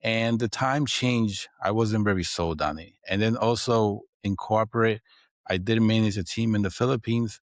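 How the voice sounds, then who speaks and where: A man's voice: on the low side, normal speed, no echo, studio quality. A man from the Dominican Republic with a Dominican Spanish accent, a podcast guest explaining his work and often tagging his points with "right?". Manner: explanatory, frequent "right?" tags. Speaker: guest, accounting firm owner. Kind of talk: podcast interview.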